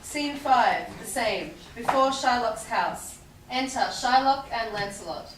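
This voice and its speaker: A woman's voice: fairly high pitch, expressive, slow pace. A woman plainly reading out scene headings and stage directions from a script. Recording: echoey room from far off, background noise.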